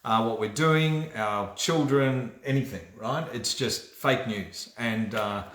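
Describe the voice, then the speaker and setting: A man's voice: mid-range voice, slow pace, fairly bright tone. Australian man hosting in an echoey room. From Australia, born in New Zealand. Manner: chatty, checks in with "right?". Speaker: host of a personal development livestream. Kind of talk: casual motivational talk. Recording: roomy, echoey room sound.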